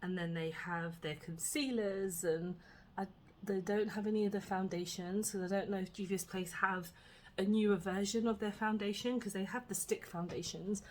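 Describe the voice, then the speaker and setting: A British woman chatting casually through a makeup tutorial at home, her voice a little husky, rambling with many "um"s and "like"s. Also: medium pitch, steady tone, normal speed, darkish tone, slight background noise. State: slightly husky from illness. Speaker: beauty vlogger.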